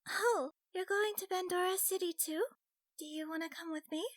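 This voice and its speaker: A man's voice: extremely high pitch, steady tone, moderate pace, a bit bright, voice pushed up. A man voicing a shy but feisty young fox girl gamer in a fantasy audiobook, with a nervous, stammering, cutesy delivery. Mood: shy, hopeful.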